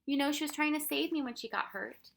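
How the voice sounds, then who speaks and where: A woman's voice: sits high, quick pace, gravelly, a bit bright, voice pushed up. A woman voicing a fierce, loyal young boy in a storybook, speaking in an emphatic, defiant way. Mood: anxious.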